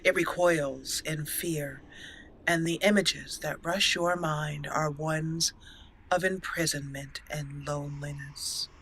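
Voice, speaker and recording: A woman's voice: deep voice, steady tone, slow, a bit bright, slight background noise. A woman hosting a let's play, switching between storytelling narration and commentary on her roleplay game.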